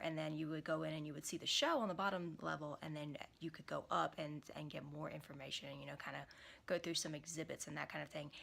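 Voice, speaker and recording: A young woman, hoarse from a cold, chatting casually to camera in a small room, rambling with many fillers and restarts. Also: deep voice, a bit bright. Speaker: vlogger.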